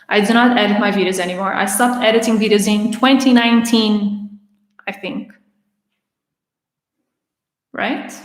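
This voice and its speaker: A raspy-voiced woman in her twenties chats through viewer questions as a livestream host, restarting her sentences now and then. Her voice sounds a little strained, and it rings out in a roomy space with a long reverb tail. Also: mid-range voice. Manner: chatty, with frequent restarts and laughter. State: having trouble speaking. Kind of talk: casual Q&A livestream.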